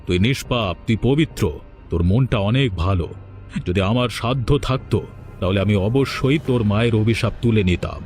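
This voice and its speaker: A man's voice: mid-range voice, fairly quick, a little mellow, faint music behind, lightly acted. A man playing a stern, proud holy man who hands down curses in a moral-tale cartoon, speaking in solemn, scolding pronouncements.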